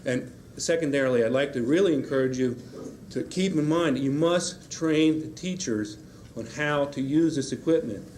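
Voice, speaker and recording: A man's voice: mid-range voice, normal speed, darkish tone. A man giving a public comment at a school board meeting, firm and urging. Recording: 1990s public-access broadcast with faint hiss.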